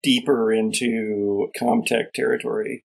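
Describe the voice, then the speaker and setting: A man with a gravelly, husky voice improvising narration as game master of a tabletop sci-fi game, halting and pausing mid-sentence. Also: mid-range voice, little pitch movement, a little mellow.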